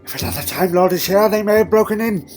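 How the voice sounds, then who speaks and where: A man's voice: sits high, animated, quick pace. Elderly time traveller, played by a man: doddering, fussy, imperious but fond, hums questioningly; audio drama over music. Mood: grave, indignant. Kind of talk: fan audio drama acting. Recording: music underneath.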